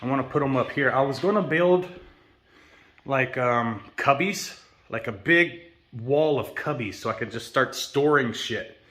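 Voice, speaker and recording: A man's voice: medium pitch, a little slow, fairly bright tone. An American man talking in a rambling, casual walk-and-talk vlog, swearing often in slangy, easygoing speech. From United States. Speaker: vlogger.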